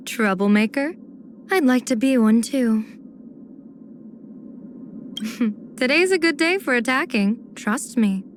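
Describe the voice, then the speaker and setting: Slow-spoken, scheming game diviner. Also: female voice, sits high, a bit bright, slight background noise, exaggerated voice. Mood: mischievous, confident. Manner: measured, theatrical, teasing, elegantly worded. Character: playful, scheming, poised female general and diviner. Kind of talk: video game character voice acting.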